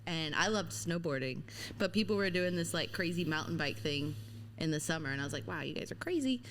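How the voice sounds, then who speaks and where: Laid-back louisiana gal, mild southern twang, slangy chatter, lowish voice. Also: female voice, animated, fairly quick, slight background noise, rough recording. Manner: chatty, laughs often, slangy. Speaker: podcast guest. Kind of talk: casual chat.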